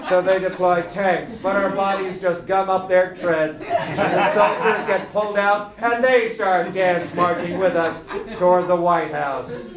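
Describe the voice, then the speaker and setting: A man's voice: high voice, fairly even intonation, unhurried, a loud crowd. A man giving a live comedic reading from a book at a lectern microphone. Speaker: guest reader at a book party. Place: indoor room at a live party. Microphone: microphone on a lectern stand. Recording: roomy live event, loud laughing audience, distant mic. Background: loud audience laughter.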